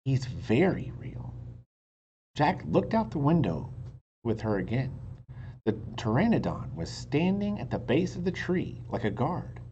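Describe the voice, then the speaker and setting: A man reads a story aloud to children like a teacher. His delivery is slow and hugely animated, stretching words and sinking into hushed tones. The recording is a little dull, with faint noise behind him. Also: fairly high pitch. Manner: dramatic, stretches words, drops to hushed whispers. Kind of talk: expressive children's book read-aloud with asides to listeners.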